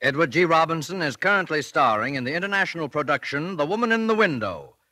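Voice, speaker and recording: A man's voice: a little high, sing-song, fast talker, pushed and bright, no room sound. A man announcing credits on a 1940s radio program in a scripted style. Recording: 1940s radio recording with music.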